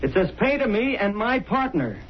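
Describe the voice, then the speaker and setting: An actor playing a brash, slangy, persistent newspaper reporter in old-time radio drama. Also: male voice, sits high, big pitch swings. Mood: excited, insistent. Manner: slangy, fast-talking persuader. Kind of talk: 1930s-40s radio acting. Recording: dull, noisy vintage radio recording.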